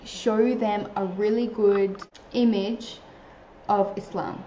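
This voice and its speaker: A young woman speaks in a casual, earnest advice talk, her speech dotted with fillers. Her voice is soft and mellow. The recording sounds roomy and a little dull. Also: medium pitch, fairly even intonation, takes it slowly, slight background noise. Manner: earnest, chatty, many filler likes. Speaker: vlogger giving advice to new converts.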